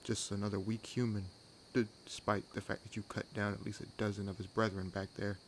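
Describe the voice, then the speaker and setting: A man playing a wry, world-weary vampire hunter in an intimate audio roleplay, teasing and sardonic, with unhurried pauses. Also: lowish voice, flat delivery, a little hiss or hum, natural voice. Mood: wary, calculating. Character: wry, world-weary one-armed male vampire hunting his own kind. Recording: nature ambience laid under voice. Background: forest ambience with crickets and insects.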